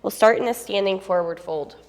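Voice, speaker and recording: A young woman teaching a yoga class on a clip-on mic, giving calm, steady cues with a slight sniffle from a cold. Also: medium pitch, brisk, long reverb tail. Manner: calm, steady cueing with soft fillers. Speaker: yoga instructor.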